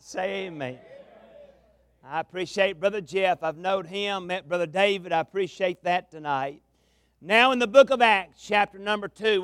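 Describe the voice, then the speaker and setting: A man with a Southern US accent preaching a revival sermon in a folksy, drawn-out cadence, with some gravel in his voice, speaking into a pulpit mic in a large tabernacle. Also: high voice, fairly bright tone, dry recording. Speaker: pastor, guest preacher. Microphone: amplified mic at a pulpit.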